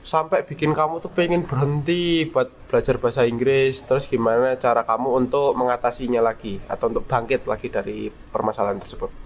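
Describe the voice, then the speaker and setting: A man's voice: mid-range voice, fairly even intonation, a little fast, hardly any pauses. A teenage boy hosting a school podcast, reacting often and asking the guest questions. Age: teens. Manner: reacts a lot, asks questions. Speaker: podcast host. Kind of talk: casual podcast chat.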